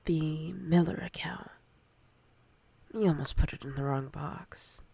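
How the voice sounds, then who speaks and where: A seductive, domineering female boss, acted by a woman for an ASMR roleplay. She speaks very slowly and deliberately in a low voice that slips into whispers, with a gravelly creak. Her tone is commanding and teasing. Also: dark tone, dry recording, exaggerated voice. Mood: stern, controlling. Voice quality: breathy, husky whisper.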